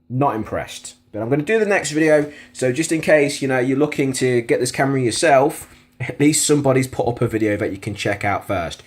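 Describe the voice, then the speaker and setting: A man's voice: medium pitch, a little mellow. British man, plain-spoken product reviewer. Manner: plain-spoken, matter-of-fact complaining. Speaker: product reviewer on a video channel.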